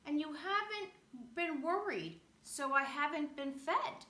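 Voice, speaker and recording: A tiny storybook pest played by a woman reader complains and makes demands. The voice is bright and fairly high, with deliberate pacing in a roomy, echoing space. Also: animated, recorded from a distance, exaggerated voice. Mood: indignant. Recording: roomy echo, faint background noise.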